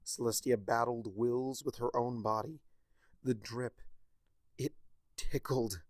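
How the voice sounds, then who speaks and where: A man reading a story aloud with a wry, expressive delivery. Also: medium pitch, takes it slowly, no echo. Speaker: fanfiction narrator.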